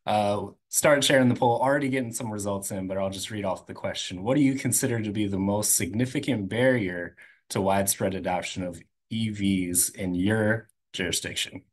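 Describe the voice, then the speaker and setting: Casting: deep-voiced man, easygoing webinar staffer, video-call sound. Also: normal speed. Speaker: webinar staff running a poll. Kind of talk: casual announcing.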